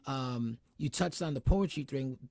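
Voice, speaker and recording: A man's voice: mid-range voice, unhurried, creaky, darkish tone, dry recording, clean studio sound. A man from New Jersey hosting a casual interview, chatty and full of restarts and fillers. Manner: chatty, many fillers and self-corrections. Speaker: host.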